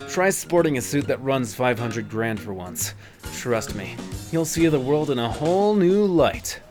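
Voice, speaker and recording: An actor playing a slick, talkative, cocky young yakuza in a video game fan dub, over guitar music. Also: male voice, medium pitch, very animated, a little slow. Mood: cocky, lecturing. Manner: cocky, chatty, casual.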